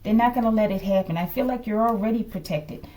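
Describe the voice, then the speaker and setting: A woman with an American accent and a soft-edged, mellow voice gives an off-the-cuff card reading. She muses aloud and keeps checking in with her viewers. The microphone sits a little away from her. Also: medium pitch, fast, distant mic. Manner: musing, often checks in with okay. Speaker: tarot reader. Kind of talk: casual improvised card reading addressed to viewers.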